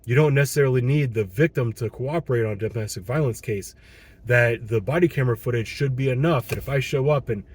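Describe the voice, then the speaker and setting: A man in his thirties with a Midwestern American accent, a patrol police officer talking on his own in a frustrated, earnest way, hoarse as he loses his voice, with frequent ums and restarts. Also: mid-range voice, quick pace, darkish tone. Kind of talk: solo monologue commentary.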